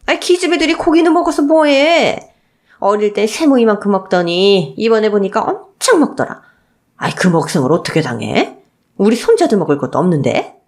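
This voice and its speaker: A woman's voice: medium pitch, very animated, moderate pace. A woman voicing a spiteful old mother-in-law who scolds and belittles with exasperated exclamations in a radio story drama. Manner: scolding, contemptuous, sighing exclamations.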